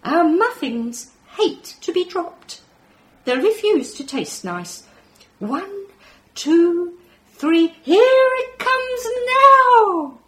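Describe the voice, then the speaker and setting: A woman voicing a lost, playful alien from another planet in an excited, sing-song way, inside a story she reads aloud. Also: very high-pitched, very slow, voice pushed up, heavily acted, rough recording. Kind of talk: acting out a voice within a read story.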